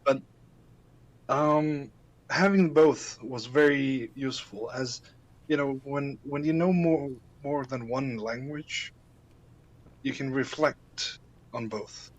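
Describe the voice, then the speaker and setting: A man's voice: mid-range voice, slow, long pauses, poor audio. An Icelandic man, a native Icelandic speaker, talking as a podcast guest in a casual interview, hesitant, with many fillers and restarts.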